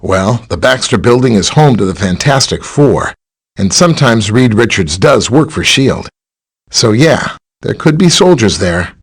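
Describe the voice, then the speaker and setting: A man playing a friendly, helpful scientist superhero in a video game, with a chatty, polite, explanatory way of talking and a slightly husky voice. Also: on the low side, lively intonation, unhurried, exaggerated voice. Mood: explanatory, earnest. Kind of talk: scripted video game character dialogue.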